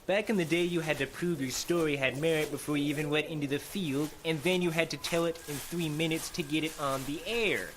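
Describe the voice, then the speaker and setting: A man's voice: a little high, a little fast, hardly any pauses, a bit bright, a little hiss or hum, close to an everyday voice. An actor playing a cynical veteran public radio reporter in an audio drama, complaining as he walks through the woods. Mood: grumbling. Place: outdoors in the woods.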